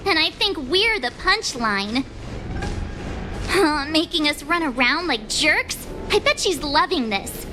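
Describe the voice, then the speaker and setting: A high, girlish voice sits over clear background music. It is a teenage girl adventurer in a video game. She is expressive, casual and easily indignant, and takes her time with the lines. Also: rough recording. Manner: girlish, informal, grumbling. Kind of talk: scripted video game voice acting. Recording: loud music behind the voice.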